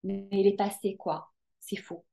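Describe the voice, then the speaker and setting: Woman, guest coach; lively, emphatic, casual with hesitant fillers. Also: medium pitch, moderate pace, creaky. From Belgium. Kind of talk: casual interview chat.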